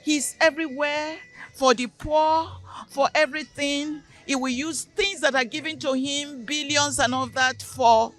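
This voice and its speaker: A woman's voice: high voice, fairly bright tone. A woman, speaking passionately and emphatically. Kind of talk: passionate speech.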